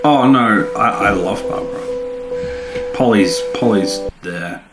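Lowish-voiced man in an echoey room. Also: slow pace, over loud music. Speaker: podcast co-host. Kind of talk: casual chat, commenting on TV episodes. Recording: echoey room, faint background noise.

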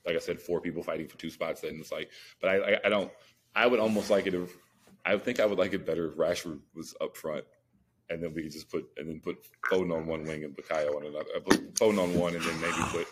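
A man's voice: low-pitched, fairly even intonation, fast, breathy edge. A man co-hosting a podcast, arguing sports opinions in a casual, slangy way, with some noise on the recording. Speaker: podcast co-host.

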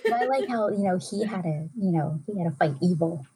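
A woman's voice: lowish voice, brisk, background noise. A woman chatting casually as a guest, a little hesitant as she thinks aloud. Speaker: podcast guest, a parent. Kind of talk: casual chat.

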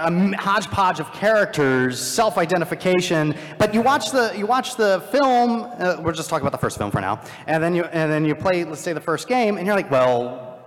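A man giving halting, explanatory commentary on films and games as a panelist at a live event. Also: a little high. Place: large indoor convention panel room. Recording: echoey large hall, live panel sound.

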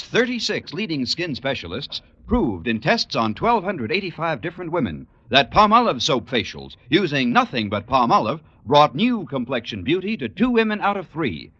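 Male announcer, bright lively voice, dry muffled old broadcast sound. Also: fairly high pitch, breathy edge, no room sound. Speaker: radio announcer. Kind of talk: 1950s radio commercial.

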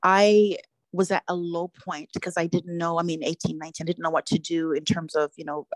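Female interview guest from Jamaica, slightly low voice, sharing her testimony reflectively. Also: level tone, fairly quick, dry recording. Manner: earnest, reflective storytelling.

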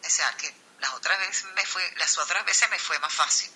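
A woman singer from Venezuela tells a story in a relaxed, rambling way, stumbling over her words and restarting often. Her voice is very bright with a husky grain. The sound has the thin quality of an online call. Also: sits low, normal speed. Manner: rambling, many restarts and fillers. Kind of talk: casual storytelling in an online chat.

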